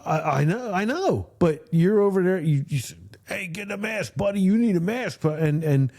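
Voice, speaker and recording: Agreeable man with a dark, lively voice. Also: high voice. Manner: agreeable, quick with short replies and laughs. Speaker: podcast co-host. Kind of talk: casual chat.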